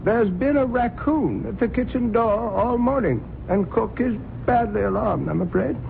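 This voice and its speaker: A stiff polite butler, high gravelly voice, tinny old radio with bit of hiss. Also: male voice, lively intonation, moderate pace, exaggerated voice. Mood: deferential. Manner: polite, deferential, formal. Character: formal deferential household butler. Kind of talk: 1970s radio drama acting.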